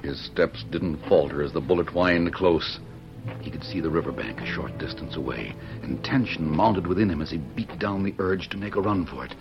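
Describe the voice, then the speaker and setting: An actor as the solemn, suspenseful narrator of an old-time radio western adventure. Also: male voice, sits low, normal speed. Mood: tense. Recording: muffled old radio recording. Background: dramatic music.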